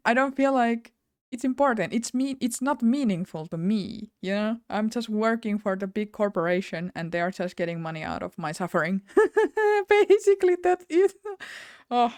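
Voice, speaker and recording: A woman's voice: medium pitch, darkish tone, smooth, no room sound, clean studio sound. A young woman with a Finnish accent, talking openly in a personal vlog, rambling and correcting herself as she goes. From Finland. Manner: candid and rambling, with self-corrections and little laughs. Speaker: YouTuber.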